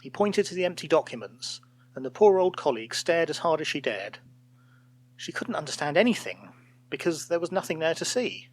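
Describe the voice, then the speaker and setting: A man reading a scripted fairytale as a storyteller, in an expressive, theatrical way. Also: on the high side, brisk.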